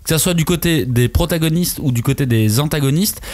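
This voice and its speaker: A man's voice: fairly high pitch, a little fast, a little mellow. Hesitant young man in a panel chat. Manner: hesitant, many fillers and restarts. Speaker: podcast panelist. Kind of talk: casual panel discussion.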